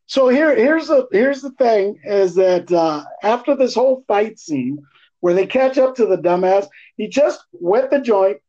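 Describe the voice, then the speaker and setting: A man co-hosting a podcast, chatting casually in profane slang with an animated, teasing, ranting manner. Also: high-pitched, measured pace. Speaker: podcast co-host. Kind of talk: casual, slangy movie chat. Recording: dull, lo-fi podcast audio.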